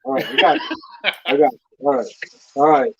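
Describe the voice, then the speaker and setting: A man's voice: very high voice, wildly swooping pitch, brisk, vocal fry, darkish tone, breathy edge. A man cooking on a live show, informally talking through each step as he does it. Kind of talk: casual cooking demonstration chat. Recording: dull lo-fi stream audio, dry.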